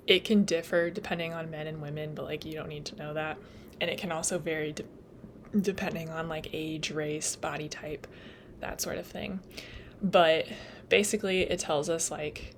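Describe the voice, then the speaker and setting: A woman's voice: deep voice, slight background noise. A young woman explaining nursing material in a casual, chatty way, full of "like" and "um", and she is losing her voice. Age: young adult. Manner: chatty, lots of "like" and "um", laughs at own slips. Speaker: nursing student tutor. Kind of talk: casual study lecture.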